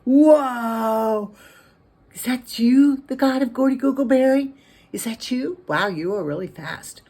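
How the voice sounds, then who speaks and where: A woman voicing a trapped, excitable male agent in a told story, pleading and exclaiming in repeated phrases. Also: medium pitch, measured pace, gravelly, voice pushed up. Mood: amazed.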